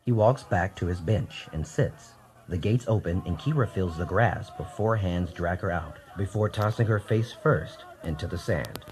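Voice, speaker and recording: Really low voiced guy narrating an old style sci-fi radio play, dramatic storyteller. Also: a little mellow. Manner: theatrical, deliberate storytelling.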